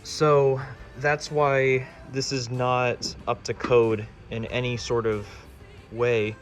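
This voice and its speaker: A man's voice: medium pitch, little pitch movement, takes it slowly, soft background music. A man talking casually through a hands-on DIY electronics project as he presents it.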